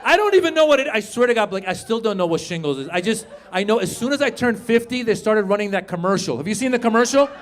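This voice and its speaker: A man in his fifties doing a stand-up comedy set on a club stage, joking with the crowd in a loud, animated way and swearing freely. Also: high-pitched, fast talker, few pauses. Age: 50s. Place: comedy club stage before a live audience.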